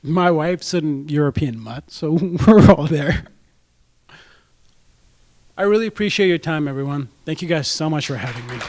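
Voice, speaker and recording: A man's voice: fairly high pitch, expressive, normal speed, pauses often, a little mellow. A man from India, giving a casual talk to a club audience as a guest speaker and dropping in frequent "right?" asides.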